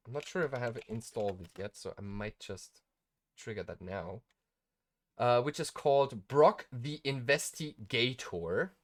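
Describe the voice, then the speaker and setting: Man rambling casually, lively pitch swings. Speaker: streamer and game developer. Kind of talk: casual live-stream commentary while working.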